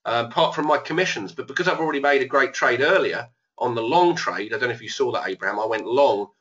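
A man hosts a live online trading session, thinking aloud as he works through his trades. His voice is a little hoarse but bright and forceful. The webinar audio sounds dull and lo-fi. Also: mid-range voice, lively intonation, quick pace. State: losing his voice. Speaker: trading educator. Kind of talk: live trading room commentary.

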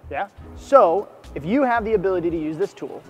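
A man in his thirties teaching strangers first aid in an indoor shopping mall, energetic and coaching, checking in with "right?" as he goes. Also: sits high, a little fast, faint music behind. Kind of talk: street demonstration teaching.

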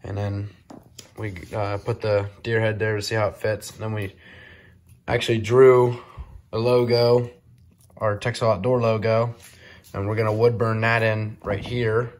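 A young man with a slightly low voice walks through a woodworking build in a cold shop shed. He talks in an easygoing, loose way, full of "um" and "like". It sounds like a handheld phone recording. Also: unhurried. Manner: relaxed, filler-heavy explaining. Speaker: DIY video co-host. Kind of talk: casual how-to narration.

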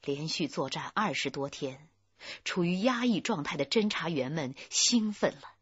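Low, very husky woman narrator. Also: expressive, moderate pace, dry recording. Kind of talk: scripted radio drama narration. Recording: muffled band-limited dry studio audio.

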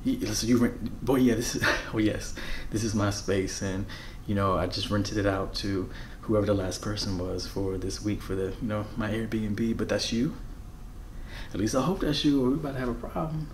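Halting American man, ASMR rental host roleplay. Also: on the low side, steady tone, slight background noise. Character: friendly, flustered male rental apartment host. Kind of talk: ASMR roleplay, casual one-sided conversation. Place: bedroom, indoors.

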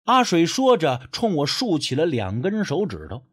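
Actor as skeptical storyteller man, very animated delivery. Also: on the high side, moderate pace, breathy edge, faint music behind, full character voice. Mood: measured, wry. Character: skeptical, sardonic man narrating a folk ghost story. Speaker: narrator and first-person lead of an audio novel. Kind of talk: audiobook storytelling with acted dialogue.

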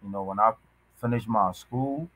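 A young man born in Ghana, chatting casually as a podcast guest over a video call from a small room, halting and full of restarts. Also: lowish voice, a bit bright, no echo. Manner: halting, many restarts and fillers, loose slangy talk. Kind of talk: casual conversation. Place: indoors, small room at home.

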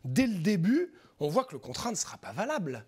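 Middle-aged guy on a tv panel, kinda husky, lively up and down voice. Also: sits high, brisk. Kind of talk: studio panel discussion. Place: TV studio.

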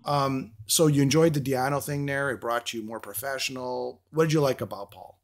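A man's voice: mid-range voice, normal speed. A middle-aged man, a native Greek speaker, hosting a casual interview in an informal, repetitive way. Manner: informal, repeats himself. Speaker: interview host.

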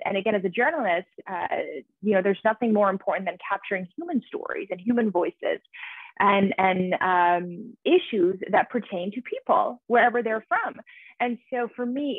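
A woman's voice: medium pitch, no echo. A woman in her thirties, originally from Iran, talks fluently and earnestly about her work and often restarts her phrases. She is on a video call from a small room. The sound is dry and thin, like a phone line. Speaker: author guest. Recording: tinny dry call audio.

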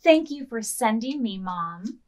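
A woman's voice: a little high, lively intonation, a little slow. A woman in her thirties hosting a storytime as a children's librarian, talking expressively to small children as she reads aloud and gives simple instructions. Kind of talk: read-aloud and instructions for preschoolers.

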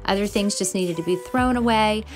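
A woman's voice: mid-range voice, normal speed. A middle-aged American woman giving friendly, encouraging voiceover narration as a home and cleaning vlogger, in a confiding way. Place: voice-over recorded in a closet at home. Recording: music playing under the voice. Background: background music.

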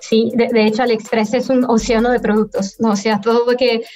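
Woman guest pausing often on a video call. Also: a little high, little pitch movement, darkish tone, muffled recording, soft background music. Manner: measured, halting delivery with frequent pauses. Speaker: guest, affiliate manager. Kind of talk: business interview answers. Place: indoor room at home, by video call. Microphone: webcam on a video call.

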